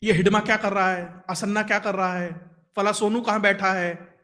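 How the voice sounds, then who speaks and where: High-pitched man, bright and emphatic. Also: fairly even intonation, normal speed. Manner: emphatic, rhetorical questions and lists. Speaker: commentator and host of an online current affairs show. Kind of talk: explanatory news commentary, conversational.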